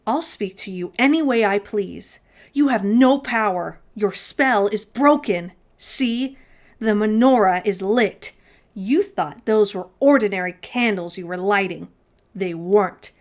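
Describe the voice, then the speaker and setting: A woman's voice: medium pitch, unhurried, close to an everyday voice. A woman voicing a plucky man in a storybook who taunts with feigned nonchalance and defiance. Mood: defiant, triumphant.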